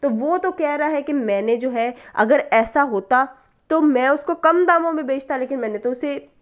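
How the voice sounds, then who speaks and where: Woman, Pakistani accent, higher-pitched. Law tutor style: didactic, example-led, question-and-answer delivery with English terms mixed in. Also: normal speed, phone-quality sound. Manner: didactic, uses rhetorical questions and check-ins; mixes English legal terms into speech. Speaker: law lecturer. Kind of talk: explanatory law lesson with examples.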